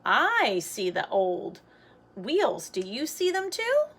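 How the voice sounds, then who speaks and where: A plucky young storybook girl, voiced by a woman reading aloud. She is resourceful and spirited, declaiming in a sing-song way. The voice is bright and swings widely in pitch, at an unhurried pace. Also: huge pitch swings, slight background noise, full character voice. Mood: proud, eager.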